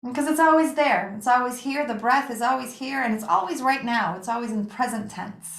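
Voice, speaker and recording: Gentle woman guiding meditation, echoey room. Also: fairly high pitch, lively intonation. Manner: gentle, soothing, unhurried with pauses. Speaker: yoga nidra meditation teacher. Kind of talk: guided meditation.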